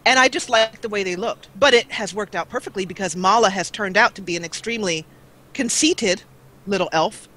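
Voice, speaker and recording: A woman's voice: mid-range voice, a little fast, bright, forceful tone. A woman chatting casually as a guest on a gaming live stream, rambling and playful, over a basic microphone. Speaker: guest, gaming roleplay video creator. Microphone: low-grade microphone on a live call.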